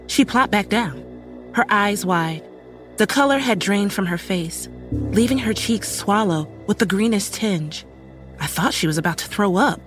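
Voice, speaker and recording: A woman's voice: mid-range voice, close to an everyday voice. A woman performing suspenseful first-person horror narration as an uneasy young woman recounting a horrifying night. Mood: tense. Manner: serious, suspenseful storytelling. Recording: music faintly under the voice.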